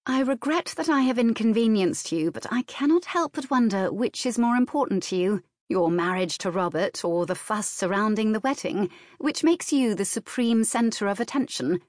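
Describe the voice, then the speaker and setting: A woman voicing a sensible, dry-witted elder sister in an audiobook, lecturing and gently reproving. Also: medium pitch, lively intonation, moderate pace, few pauses, dry recording, pitched above the performer's own voice, lightly acted.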